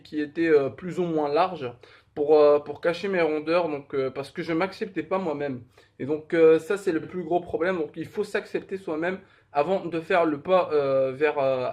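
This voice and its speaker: Young guy from morocco vlogging, lots of euh and restarts, kinda high voice, pokes fun at himself. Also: darkish tone. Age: young adult. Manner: hesitant, many fillers and restarts; candid and self-deprecating. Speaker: fitness vlogger. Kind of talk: casual vlog talk.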